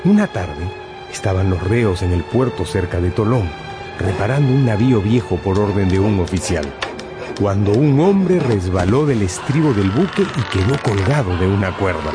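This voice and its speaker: A man's voice: lowish voice, lively intonation, moderate pace. A man narrating a scripted radio drama as a dramatic storyteller. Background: background music.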